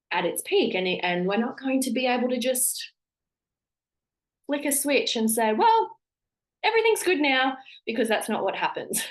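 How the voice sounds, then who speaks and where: A woman in her thirties talks on her own podcast with a slightly low voice, a bit croaky and congested. Her tone is encouraging and conversational, full of ums and little restarts as she works through her thoughts. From Australia. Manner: warm encouraging tone with filler-heavy restarts. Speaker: podcast host. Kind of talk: solo podcast monologue.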